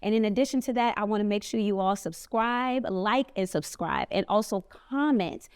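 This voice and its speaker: A woman's voice: medium pitch, normal speed, clear, resonant tone. A woman hosting a podcast, talking to her listeners in an upbeat, chatty way. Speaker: podcast host. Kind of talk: casual podcast chat.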